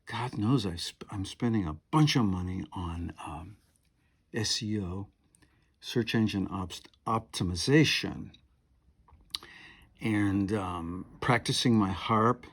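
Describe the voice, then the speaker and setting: A man's voice: on the low side, slow, slightly rough. A man in his seventies, a piano and harp player, talking at home in a meandering, chatty way with lots of fillers and asides. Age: 70s. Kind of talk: rambling monologue to camera.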